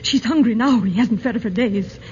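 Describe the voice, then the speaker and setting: A woman playing a terrified wife in a 1940s radio melodrama, tremulous, pleading and halting. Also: on the high side, a little mellow, close to an everyday voice. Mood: shaken. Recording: dull noisy 1940s radio recording.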